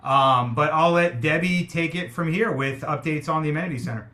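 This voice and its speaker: A man's voice: a little high, brisk, pushed and bright. A man hosting a community town hall on a video call, welcoming people and talking with many ums and uhs. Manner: friendly, filler-heavy, welcoming. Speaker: meeting host. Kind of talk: online town hall moderation.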